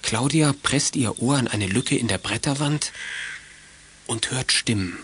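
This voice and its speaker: A man's voice: mid-range voice, unhurried, fairly bright tone, slight background noise. A man narrating a children's audio play in a scripted storytelling style.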